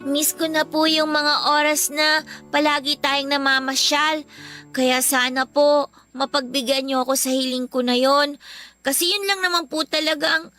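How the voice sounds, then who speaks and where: An actress playing a sweet, polite eleven-year-old daughter in a Filipino radio drama, with a childlike, pleading way of talking. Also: female voice, sits high, fairly even intonation, normal speed, bright, forceful tone, soft background music. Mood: wistful, pleading.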